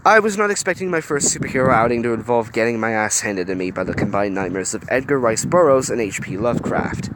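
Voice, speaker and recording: A man's voice: mid-range voice, quick pace, few pauses, natural voice. A man voicing a wry, easygoing young man who turns defensive and protesting. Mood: indignant. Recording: steady background noise.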